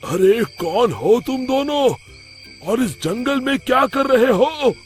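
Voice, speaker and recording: A man voicing a stern, protective hermit sage in a cartoon moral story, commanding and deliberate. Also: extremely high pitch, lively intonation, soft background music, pitched above the performer's own voice, exaggerated voice.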